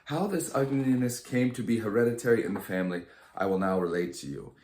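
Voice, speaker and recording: Storybook old godfather male reader, odd, droll, teasing, low-pitched, echoey room. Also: expressive, brisk, a little mellow, lightly acted. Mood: teasing, courteous. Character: eccentric, teasing old godfather, a watchmaker counselor.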